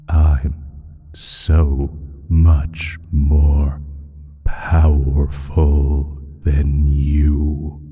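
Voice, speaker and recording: A man playing an ancient, evil primordial creature in an audio roleplay, smug and menacing, speaking slowly in a breathy, husky voice that slips into whispers. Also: rumbling low, lo-fi sound, a little hiss or hum, full character voice. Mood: smug, commanding. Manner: slow, deliberate, menacing, drawn-out phrasing. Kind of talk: dramatic audio roleplay monologue.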